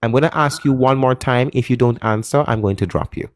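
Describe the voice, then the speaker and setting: A man hosting a live call-in debate stream, pressing callers with insistent questions and joking loudly with his chat. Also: medium pitch, a little fast, no room sound, clean studio sound. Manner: lively, shouts and giggles at chat.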